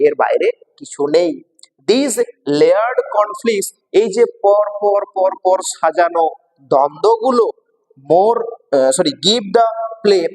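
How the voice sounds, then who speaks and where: Male teacher, West Bengal Bengali accent, higher-pitched voice, didactic explainer delivery, recorded with long echoing reverb. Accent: Indian Bengali, West Bengal. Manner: didactic, repeats each phrase and translates it. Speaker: English teacher. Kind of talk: bilingual exam lesson, explaining line by line.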